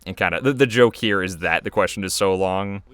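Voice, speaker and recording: Low-pitched man, clean smooth voice, chatty, rambling, casual video commentary. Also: fairly quick, a bit bright. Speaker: video creator giving commentary.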